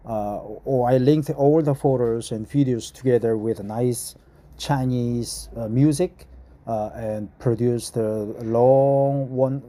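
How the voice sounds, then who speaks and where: A middle-aged Korean man with a Korean accent, reading an article aloud in English to practice, halting with many fillers and repeating phrases. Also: mid-range voice, warm tone. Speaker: vlogger.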